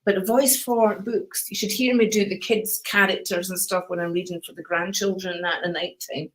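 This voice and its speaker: An older woman with a Scottish accent, hosting a live stream of card readings and chatting warmly to viewers, calling them darling and sweetheart in a calm, soothing voice. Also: medium pitch, a little fast, rough recording.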